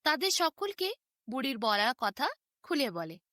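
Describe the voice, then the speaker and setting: A woman's voice: high-pitched, sing-song, a little slow, pushed and bright, no echo, studio quality. A woman narrating a fairy tale as a bedtime story, with a sing-song storytelling delivery. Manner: sing-song storytelling with wide rises and falls.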